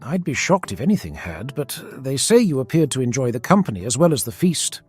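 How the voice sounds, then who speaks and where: A man voicing a poised, coy noblewoman who teases in formal, measured fantasy dialogue. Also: mid-range voice, very animated, husky, faint music behind, voice pushed up. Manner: formal, measured, gently teasing. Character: poised, coy noblewoman teasing her brother. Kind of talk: fantasy audiobook character dialogue.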